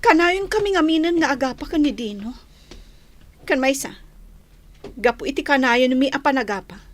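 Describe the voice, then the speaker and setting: A woman's voice: high-pitched, normal speed, lightly acted. An actress playing a weary young mother who is fed up with her marriage, in a radio drama.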